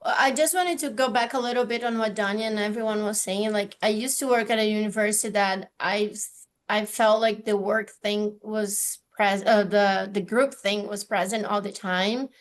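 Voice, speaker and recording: A woman's voice: mid-range voice, fairly even intonation, normal speed. A woman from Brazil speaks with a Brazilian Portuguese accent over a video call. She talks in an earnest, conversational way, full of fillers and self-corrections. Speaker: office manager in a tech transfer office. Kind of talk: virtual panel discussion.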